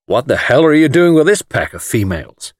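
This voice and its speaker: A man voicing a gruff, hearty male adventurer who teases his friend bluntly in an audiobook story. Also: medium pitch, big pitch swings, brisk, no room sound, studio quality, pitched above the performer's own voice. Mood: blunt, teasing. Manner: blunt, jocular.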